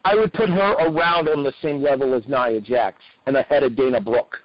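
A man with a slightly rough voice, co-hosting a wrestling podcast in casual review chat. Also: medium pitch, moderate pace, no echo, dull audio.

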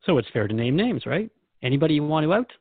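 A man's voice: on the high side, big pitch swings, fast, breathy edge, no echo, phone-quality sound, heavily acted. A man playing a curious, earnest seeker questioning a spiritual guide in a conversational scripted dialogue. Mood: eager, inquisitive. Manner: inquisitive, conversational.

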